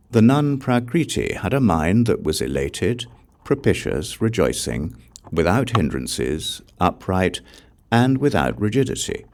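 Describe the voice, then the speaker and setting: A man narrating Buddhist scripture as an audiobook, in a measured, solemn, recitative way. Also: deep voice, creaky, darkish tone.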